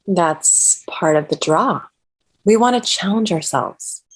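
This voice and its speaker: A woman's voice: on the low side, expressive, takes it slowly, slightly rough, exaggerated voice, rough recording. A woman reading the part of a feisty, teasing young elite mountain climber in a script table read. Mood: confident.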